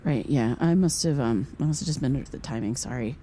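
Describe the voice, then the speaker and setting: An actress playing a pensive woman in her thirties in an audio drama, speaking casually and haltingly as she confides like a podcast host. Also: a bass voice, mellow, a little hiss or hum. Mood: puzzled. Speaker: amateur investigator and podcast host.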